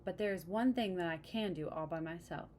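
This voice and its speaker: A woman's voice: on the low side, fairly quick, darkish tone, a little hiss or hum, close to an everyday voice. A woman voicing a grumpy, reluctant male toad in a storybook, with a blunt, grumbling way of protesting. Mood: sulky. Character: grumpy, reluctant male toad who loves his bed.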